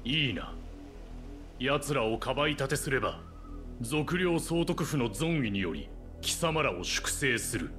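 An actor playing a menacing, authoritarian soldier in a video game, commanding and stiffly formal. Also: male voice, medium pitch, lively intonation, unhurried, a bit bright. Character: menacing, authoritarian male soldier of an occupying army. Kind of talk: Japanese video game voice acting. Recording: music under the voice.

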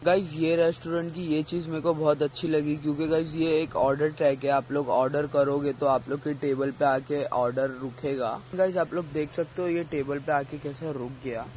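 A man's voice: on the high side, steady tone, normal speed, few pauses, darkish tone, a little hiss or hum, rough recording. A teenage man, chatting to his viewers as he reviews a restaurant. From India. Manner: chatty, keeps addressing viewers as guys. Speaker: food vlogger. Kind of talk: casual restaurant review vlog. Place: busy mall restaurant. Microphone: phone camera at arm's length.

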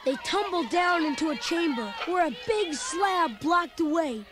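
A performer playing an excitable young boy who tells his adventure as animated, dramatic read-along storybook narration. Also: very high voice, measured pace. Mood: excited storytelling. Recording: loud music under the voice. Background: loud dramatic music.

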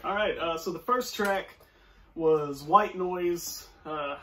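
A man's voice: fairly high pitch, slow pace, pushed and bright. A young man in his twenties co-hosting a casual chat, rambling with many fillers and restarts. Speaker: co-host. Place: small room, seated on a couch. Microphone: far camera. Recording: voice from a distance.

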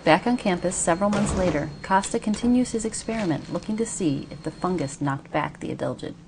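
A woman reading scripted narration for a short documentary piece in an even, measured way. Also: on the low side, background noise.

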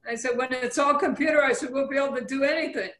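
A woman's voice: sits high, fairly even intonation, fast talker, a bit bright. A woman from California, a playwright, chatting casually and readily amused on a video call. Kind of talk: casual chat. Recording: roomy, echoing video call.

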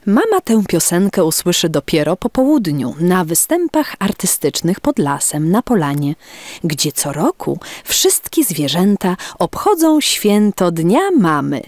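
Female children's story narrator, lowish voice, very animated delivery, some background noise. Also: unhurried. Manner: expressive storytelling for young children.

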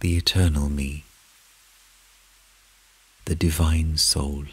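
Super low breathy guy doing a guided meditation, flat and unhurried, little phrases with long pauses.

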